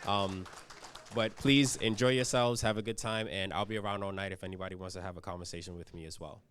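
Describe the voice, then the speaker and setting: A man's voice: low voice, fairly even intonation, fast, fairly bright tone, slight background noise. A man from Trinidad giving a brief, informal welcome to event guests with a few fillers. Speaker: bank representative welcoming guests. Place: large event room.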